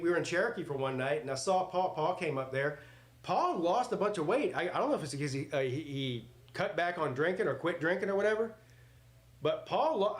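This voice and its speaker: A man in his forties hosting a live sports watch party, mixing casual chat with play-by-play in a blunt, joking, rambling way. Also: a little high. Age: 40s.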